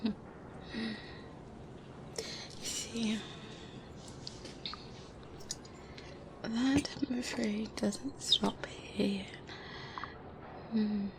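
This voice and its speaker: A woman playing a softly sinister, polite specialist doctor in an ASMR roleplay, hesitant and insinuating, in a breathy whisper with murmured hums. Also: on the high side, steady tone, extremely slow, heavy background noise. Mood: hushed, knowing.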